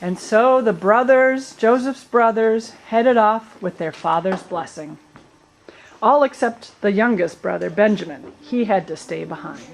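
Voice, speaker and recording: A woman's voice: medium pitch, measured pace, creaky, a little hiss or hum, low-quality recording. A woman narrating a puppet show, telling the story with colloquial asides.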